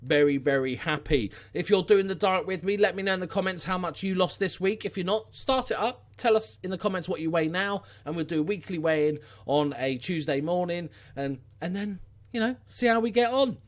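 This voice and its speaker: A man with a Scouse accent and a slightly high voice talks casually to his viewers. He is unscripted and informal, with a wry, blunt turn of phrase as he hosts his vlog. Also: brisk. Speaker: YouTube channel host.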